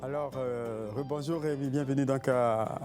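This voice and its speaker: A man hosting an interview, talking haltingly with many fillers and frequent short agreements. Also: mid-range voice, steady tone, darkish tone, soft background music. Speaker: host.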